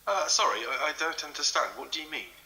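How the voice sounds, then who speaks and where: An actor playing a polite, curious investigator, speaking hesitantly in a naturalistic interview style. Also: male voice, on the high side, lively intonation, quick pace, bright, forceful tone, full character voice. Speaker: interviewer researching a legend. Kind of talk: audio drama acting, naturalistic interview.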